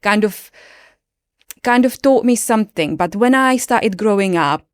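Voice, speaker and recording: A woman's voice: medium pitch, lively intonation, unhurried, clear, resonant tone, dry recording, studio quality. A woman in her late thirties with a Czech accent, a yoga teacher chatting as a podcast guest in an earnest, reflective way, often restarting her sentences. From Czech Republic. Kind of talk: casual chat.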